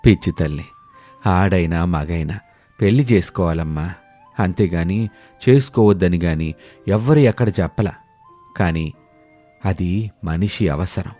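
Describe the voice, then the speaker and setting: A man voicing a humbled, gentle ageing village father who speaks softly and patiently in a moral story. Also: low-pitched, unhurried, pauses often, soft background music. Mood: consoling. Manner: soft, patient, pleading.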